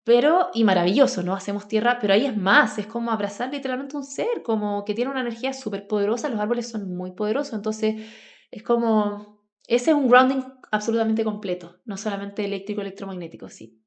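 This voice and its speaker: A woman's voice: mid-range voice, quick pace. A Chilean woman talking conversationally as a podcast guest, telling personal stories in a colloquial way full of asides. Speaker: podcast guest, grounding advocate.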